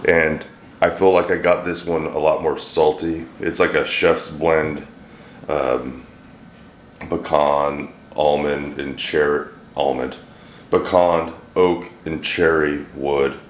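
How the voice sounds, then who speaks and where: A middle-aged man with a deep, slightly hoarse voice, chatting and joking through a home cooking vlog. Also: flat delivery, takes it slowly. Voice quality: deep, a little hoarse from a throat bug. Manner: joking, rambling, dry self-deprecating humor. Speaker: vlogger, home cook. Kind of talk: casual cooking vlog chat. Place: home kitchen. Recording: echoey kitchen, faint hiss.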